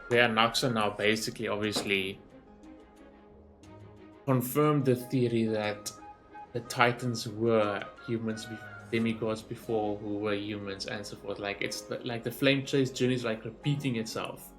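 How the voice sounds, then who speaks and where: A man's voice: lowish voice, steady tone, unhurried, a bit bright. Rambling male gamer over background music. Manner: rambling, thinking aloud. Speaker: gaming streamer. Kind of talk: casual game playthrough reaction commentary. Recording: game music behind the voice.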